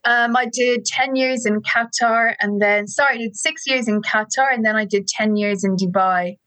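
A woman's voice: a little high, normal speed, fairly bright tone, no room sound, low-quality recording. A woman in her forties with an Irish accent, an enthusiastic, chatty storyteller speaking as a guest over a remote call. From Ireland. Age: 40s. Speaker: guest, education app co-founder. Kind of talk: podcast interview.

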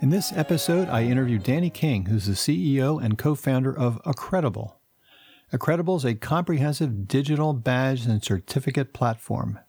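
A man's voice: medium pitch, expressive, brisk, darkish tone, husky, soft background music. A man hosting a podcast, reading the intro and sponsor notes, then asking friendly questions with fillers. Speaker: podcast host.

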